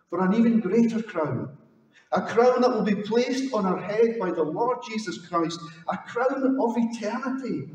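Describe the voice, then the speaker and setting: Older male minister, measured sermon, long reverb from a distant-sounding church hall. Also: fairly high pitch, a little mellow. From Scotland. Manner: slow, solemn preaching with measured pauses. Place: large stone church. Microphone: headset mic. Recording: echoey church hall from afar.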